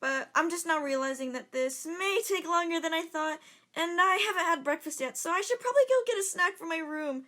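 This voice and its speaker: A woman plays a young female reporter caught as a stowaway in an amateur audio drama. Her voice is high and bright, and she talks in a defensive, chatty way. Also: lively intonation, a little fast, exaggerated voice. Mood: casual.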